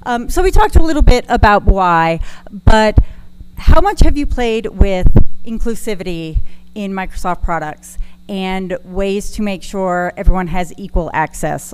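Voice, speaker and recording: A woman's voice: medium pitch, lively intonation, moderate pace, darkish tone, clean tone, rough recording. A woman co-presenting an informal, interactive session at a conference.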